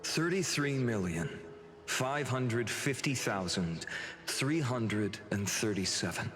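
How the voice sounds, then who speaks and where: An actor playing a calm, compassionate male guide in an anime game, measured, deliberate and solemn. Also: medium pitch. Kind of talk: dramatic anime game voice acting.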